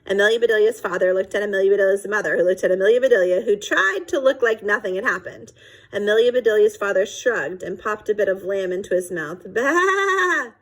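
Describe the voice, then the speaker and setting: A woman reading a children's chapter book aloud expressively, pausing to explain phrases to young listeners. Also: medium pitch, low-quality recording. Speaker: read-aloud storyteller.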